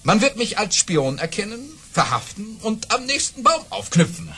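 A man's voice: high-pitched, sing-song, normal speed, bright, forceful tone, breathy edge, exaggerated voice. A man playing a boastful baron and officer who tells tall war tales theatrically in a German audio play, on a noisy cassette rip. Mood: dramatic. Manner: theatrical, self-assured storytelling. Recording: cassette rip with audible noise.

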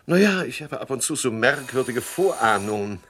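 An actor playing a scheming, smooth-talking swindler in a German radio crime comedy, jovial, wry and very sure of himself. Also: male voice, mid-range voice, expressive, normal speed, fairly bright tone, a little hiss or hum, natural voice. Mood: smug, confiding. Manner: jovial, self-assured, wry. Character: smooth-talking, scheming swindler running a fake job agency.

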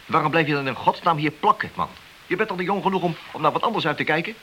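An actor playing a hard-nosed, cynical police detective in a Dutch radio play, blunt, needling and sardonic in manner. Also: male voice, on the high side, animated, fast, bright, forceful tone. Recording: noisy, hissy old radio drama recording.

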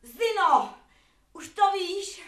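An actress playing an excitable, superstitious servant woman in a radio drama, chattering colloquially. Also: very high-pitched. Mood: excited.

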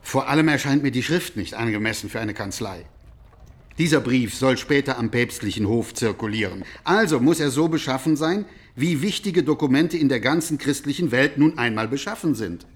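A male actor plays a fussy imperial chancellor in a historical radio drama. His mid-range voice is deliberate and officious, that of a prudent official. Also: medium pitch, lively intonation, natural voice. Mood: officious, cautious.

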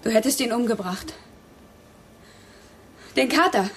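Casting: robber's young moll, high voice, lively swings, defiant, thin-skinned, radio drama. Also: female voice, a little slow, slight background noise. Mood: sullen, bitter. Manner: defiant, edgy, easily provoked. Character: fed-up, thin-skinned young gangster's girlfriend named Dagi.

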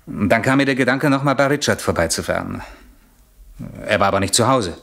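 An actor playing a nervous, evasive gentleman under suspicion in a German radio crime drama, defensive and hesitant as he protests his innocence. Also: fairly low pitch, expressive, fairly quick, gravelly, exaggerated voice. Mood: uneasy, evasive.

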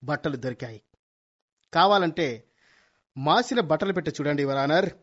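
An actor playing a cocky young amateur detective son in a radio comedy, speaking with grandiose courtroom flourishes and English phrases mixed in. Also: male voice, fairly high pitch, animated, normal speed, fairly bright tone. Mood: dramatic, revealing. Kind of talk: Telugu radio comedy play acting. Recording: dull lo-fi radio recording.

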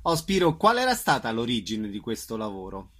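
A man's voice: medium pitch, huge pitch swings, a little fast, fairly bright tone. Male radio host, conversational. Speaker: radio host interviewing guests.

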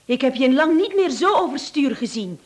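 Radio-drama wife, high voice, animated intonation, chatty, coaxing, gently exasperated. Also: female voice, fairly quick. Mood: coaxing, concerned. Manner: coaxing, mildly exasperated, domestic. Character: caring, chatty wife of a nervous man. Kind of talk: radio play acting.

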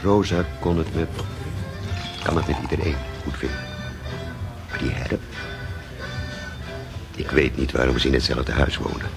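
A man's voice: very deep voice, unhurried, gravelly, pauses often, music in the background, exaggerated voice. An actor playing a talkative, cynical barman in a naturalistic radio drama, speaking in a hesitant, rambling way. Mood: reflective, halting. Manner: hesitant, rambling, with self-corrections. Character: talkative café barman, cynical, fond of missing bandmate.

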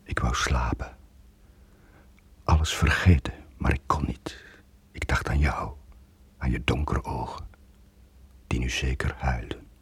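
An actor playing a brooding, guilt-ridden sailor in a radio drama from the 1980s, confessing in a deep, husky voice. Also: male voice, fairly even intonation, measured pace, pauses often, no room sound, in a whisper, heavily acted. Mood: melancholy. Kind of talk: 1980s radio drama, intimate narrating monologue.

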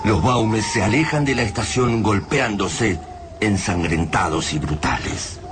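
A man with an Argentine accent narrating a radio drama in a grave, measured way. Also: lowish voice, background noise. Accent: Argentine Spanish. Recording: radio play with loud music behind.

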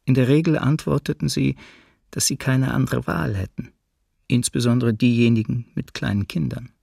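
A man's voice: mid-range voice, fairly even intonation, moderate pace, creaky, a little mellow, slightly rough, no echo, studio quality. An actor playing a weary, earnest witness reflectively telling his memoir in a documentary radio play. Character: male witness recalling failed warnings, weary, earnest.

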